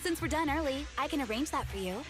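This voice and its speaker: A woman's voice: sits high, expressive, fast, music in the background. A woman voicing a composed, dutiful young female squad leader in a video game, speaking in a polite, businesslike way. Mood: calm, polite.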